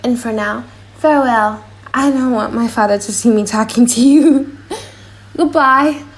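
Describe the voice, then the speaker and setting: A sad young daughter as a woman reader plays her in an audiobook play. The voice is very high, affectionate and confiding, with a secretive air. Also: unhurried, natural voice. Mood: wistful, secretive. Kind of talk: audiobook drama reading.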